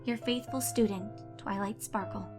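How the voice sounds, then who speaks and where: A woman voicing a guilt-ridden, paranoid young pony student, reading her confessional letters in an anguished, highly emotional way as she unravels. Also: fairly even intonation, moderate pace, music in the background, close to an everyday voice. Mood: uneasy, subdued. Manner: anguished, highly emotional, swinging from hushed to screaming.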